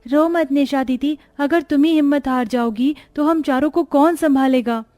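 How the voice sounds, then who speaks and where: A woman's voice: high voice, normal speed, warm tone, voice pushed up, natural voice. A woman voicing a sad young orphan girl who pleads earnestly in a cartoon story. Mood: sad comforting.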